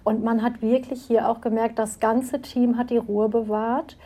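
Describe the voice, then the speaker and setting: A woman interviewer with a somewhat high, mellow voice. She speaks with a flat, composed, matter-of-fact delivery. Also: normal speed. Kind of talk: conversational interview.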